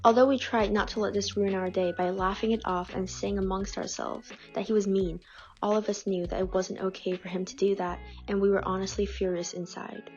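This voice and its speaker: A woman reads personal accounts aloud in a calm, measured voice. Her pitch sits in the middle, and the recording is dull and lo-fi. Faint music plays underneath. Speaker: narrator reading submitted stories. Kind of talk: scripted reading.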